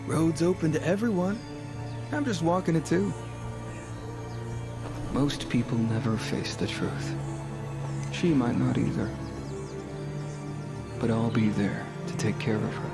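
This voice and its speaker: A man's voice: mid-range voice, unhurried, long pauses, lightly acted. An actor voicing a grim, protective male demon hunter in a dramatic motion comic, brooding and solemn. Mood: brooding resolve. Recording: music behind the voice.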